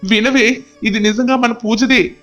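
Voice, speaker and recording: A man's voice: extremely high pitch, fairly even intonation, a little slow, a bit bright, muffled recording, soft background music. A man playing a stern, anguished father and husband, scolding and emotional, in an audio story. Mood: insistent. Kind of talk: dramatic audio story dialogue.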